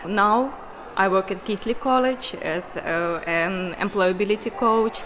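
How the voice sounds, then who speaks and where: A woman with a Ukrainian accent talks very slowly and hesitantly, pausing with soft hums as she searches for English words. A faint noise runs under her voice. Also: mid-range voice, rough recording. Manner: slow, hesitant, with filler hums. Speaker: employability coach and former student.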